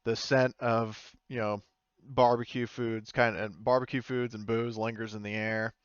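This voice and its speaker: A man acting as game master, describing scenes and rules casually in halting phrases. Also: medium pitch, flat delivery, smooth, no echo, lo-fi sound. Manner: hesitant, halting phrasing with frequent "like" and "you know". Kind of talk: improvised tabletop game narration with casual rules talk.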